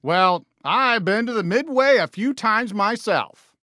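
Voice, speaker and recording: Casting: friendly, excitable cartoon cowboy sheriff for a game, American, folksy drawl, bright and forceful, swooping pitch. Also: male voice, measured pace, no room sound, clean studio sound, exaggerated voice. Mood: cheerful, joking. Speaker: voice actor in a video game. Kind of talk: animated game character voice lines.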